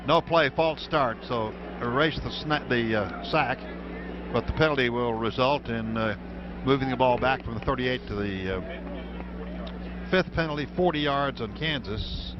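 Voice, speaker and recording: An American man calls a football game live on the radio, naming formations and yardage as the play unfolds. His voice sits at an ordinary male pitch. The broadcast sound is dull, with clear noise behind him. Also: a little slow. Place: stadium radio booth.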